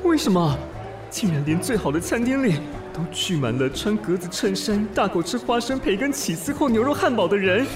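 Melodramatic, fussy city snob, wildly swooping. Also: male voice, high voice. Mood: outraged, hysterical. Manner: melodramatic, sarcastic, swinging from muttering to shrieking. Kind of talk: radio drama acting with inner monologue.

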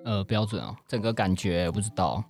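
A man's voice: fairly low pitch, brisk, soft background music. A man with a Taiwanese Mandarin accent as a podcast guest, chatting casually and answering in a hesitant, vague way. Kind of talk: casual chat.

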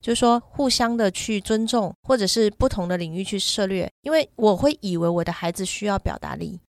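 A middle-aged woman chats as a podcast co-host, laying out her thoughts in an explanatory, step-by-step way. Her pitch sits in the middle for a woman, and the sound is dry and close. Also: expressive, brisk, dry recording. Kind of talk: conversational parenting podcast chat.

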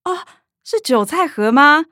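A woman's voice: high voice, expressive, a little slow, bright, forceful tone, no echo, clean studio sound, exaggerated voice. An actress playing a middle-aged mother in a radio drama, chatty and complaining, with a Taiwanese accent. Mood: coaxing, cheerful. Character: middle-aged mother, coaxing with daughters, exasperated with grandma.

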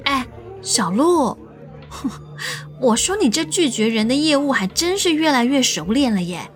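A woman playing a nosy teenage schoolgirl best friend in a radio drama, playful and teasing with drawn-out words. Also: sits high, expressive. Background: faint music.

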